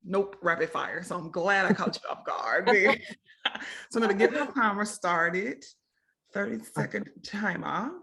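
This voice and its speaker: A woman hosting a web interview show on a video call, bubbly and quick to laugh. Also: mid-range voice, gravelly, bright, forceful tone. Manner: bubbly, laughs often, repeats "very nice". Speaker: host.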